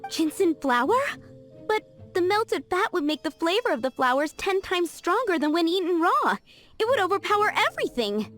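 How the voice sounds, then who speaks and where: An actress playing a proud, busy female cook in a game cutscene, brisk and blunt. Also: very high voice, very animated, fairly bright tone, soft background music, heavily acted. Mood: curious. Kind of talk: video game cutscene dub.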